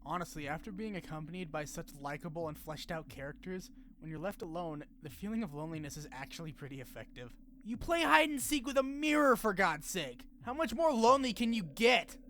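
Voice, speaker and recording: A man's voice: high voice, sing-song, brisk, few pauses, a bit bright, slight background noise. A man narrating a scripted but casual game review, with informal wry asides and some swearing. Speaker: video essay narrator.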